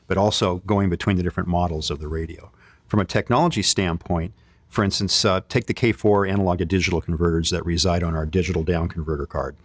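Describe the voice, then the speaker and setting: A man's voice: low voice, no room sound. A man presenting technical equipment in an informal product demo, explaining it in a conversational way. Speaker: company presenter demonstrating radio equipment.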